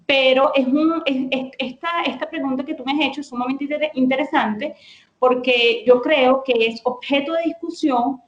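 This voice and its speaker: A woman's voice: high voice, steady tone, normal speed, dull audio. Thoughtful woman linguist, echoey room. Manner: thoughtful, with many hesitations and restarts. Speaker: guest lexicographer. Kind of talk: explanatory conversation.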